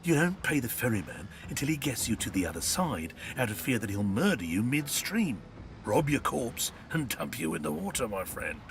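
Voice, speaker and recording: A man's voice: mid-range voice, sing-song, moderate pace, creaky, slight background noise. Swooping, theatrical undead ferryman. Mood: sly, theatrical. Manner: theatrical, mock-courteous, cackling. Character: sly undead ferryman, gleeful greedy swindler. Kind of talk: video game character acting. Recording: music behind the voice.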